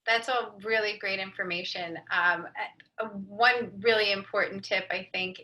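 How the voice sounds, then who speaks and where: A woman's voice: mid-range voice, pushed and bright, rough recording. A woman speaking as an expert panelist, offering conversational advice. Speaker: panelist, brand strategist and writer.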